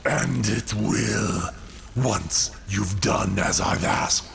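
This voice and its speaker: An actor playing a vengeful, cold alien god-killer villain in a comic-book audio drama, with gravelly, grandiose, theatrical delivery. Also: male voice, fairly low pitch, extremely slow, background noise, full character voice. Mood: cruel, patient. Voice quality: gravelly, creaky. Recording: loud music under the voice.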